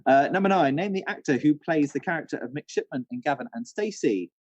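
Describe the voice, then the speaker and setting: A man's voice: a little high, expressive, a little fast, a little mellow, dull audio. A man co-hosting a quiz with casual, teasing banter. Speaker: quiz co-host.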